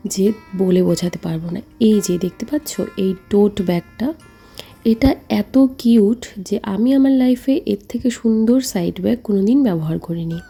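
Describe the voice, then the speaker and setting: Female vlog narrator, deeply warm and relaxed tone with some huskiness, chatty direct address to viewers. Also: husky. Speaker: vlogger. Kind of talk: narrated home vlog voice-over. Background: faint music.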